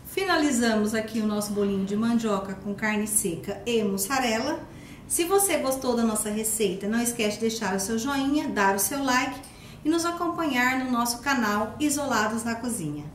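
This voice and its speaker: A Brazilian woman with a fairly high voice hosts a home cooking video. She explains each step simply and speaks directly to her viewers. There is clear background noise on the recording. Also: expressive, moderate pace, echoey room. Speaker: cooking channel host. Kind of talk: recipe demonstration. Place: home kitchen, indoors.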